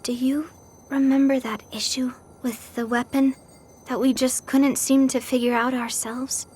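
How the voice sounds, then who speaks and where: High-voiced, calm young game heroine. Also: female voice, unhurried, slightly rough. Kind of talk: video game cutscene acting.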